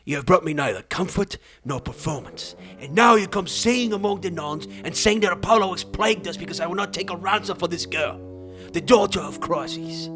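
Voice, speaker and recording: A man's voice: fairly high pitch, pitched above the performer's own voice, close to an everyday voice. A man playing an enraged, arrogant king in a dramatized epic audiobook, declaiming with threats and haughty command, over music.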